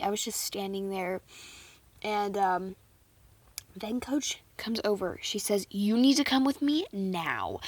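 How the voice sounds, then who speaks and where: A woman's voice: medium pitch, dry recording. Teen girl vlogging, chatty n giggly, lots of "like", voice kinda going, dry close sound. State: losing her voice. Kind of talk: casual chat to camera.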